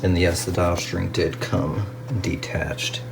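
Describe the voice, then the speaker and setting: A man narrating a casual hands-on restoration video as a hobbyist, musing aloud to himself in an unhurried way. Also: low voice, flat delivery, gravelly, noisy background.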